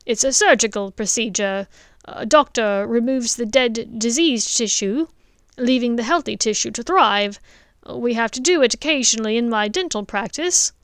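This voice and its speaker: A woman's voice: on the high side, normal speed, clean tone, no room sound, studio quality, natural voice. A woman reading as an eager, nervous male dentist. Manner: louder, eager and polite. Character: earnest, nervous male dentist, middle-aged.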